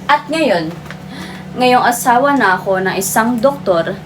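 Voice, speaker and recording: A woman playing a vain, pompous high-society doña in a theatrical monologue, scornful and scolding. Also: background noise.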